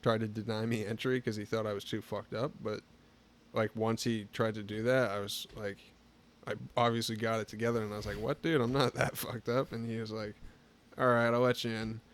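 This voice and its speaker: Guest, male, 20s; lowish voice; laid-back storyteller, filler-heavy. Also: a little fast. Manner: relaxed storytelling, lots of filler "like". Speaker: podcast guest. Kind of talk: casual chat.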